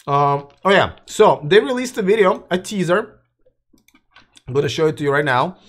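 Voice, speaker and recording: A man's voice: on the high side, lively intonation, moderate pace, fairly bright tone. Man losing his voice, rambling. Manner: rambling, restarts, addresses live chat. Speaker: host of a live electric car news show. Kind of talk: casual live-stream commentary.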